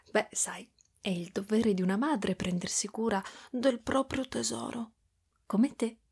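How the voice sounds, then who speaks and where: Woman acting breathy, doting spider-woman mother. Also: on the high side, slow pace, heavily acted. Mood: doting, excited. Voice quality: soft, breathy with whispery stretches. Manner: cooing, affectionate, giggly, pet names. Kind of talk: ASMR roleplay.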